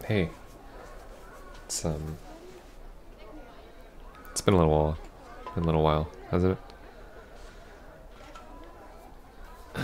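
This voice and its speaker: Very deep, gravelly, hesitant ex-boyfriend played by a man. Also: noisy background, exaggerated voice. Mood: awkward, nervous. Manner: hesitant, halting, stammering. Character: awkward, apologetic young ex-boyfriend, still hurting. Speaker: ASMR roleplay voice actor. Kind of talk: ASMR roleplay, soft intimate acting.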